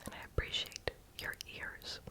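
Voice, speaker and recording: A person whispering a casual, rambling ASMR voiceover in a breathy, unvoiced voice, often stopping to correct themselves. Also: normal speed. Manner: casual, rambling, hesitant self-correcting talk. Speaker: ASMR video creator.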